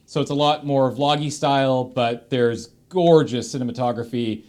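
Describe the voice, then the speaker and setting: A man's voice: mid-range voice, normal speed, darkish tone. A man with a Canadian accent, casually and enthusiastically giving recommendations as a panelist on a livestream.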